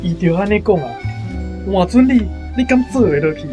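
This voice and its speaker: A man's voice: sits high, expressive, a little fast, over music. A man with a Taiwanese accent playing an earnest, hot-blooded young soldier in a radio drama.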